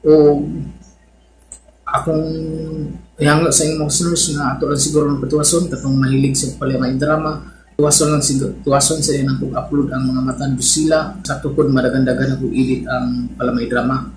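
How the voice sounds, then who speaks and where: A man's voice: mid-range voice, little pitch movement, fairly quick. A man with a Cebuano Filipino accent chatting informally and gratefully as host of a radio drama channel. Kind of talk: casual vlog intro reading listener comments. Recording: echoey room, background noise.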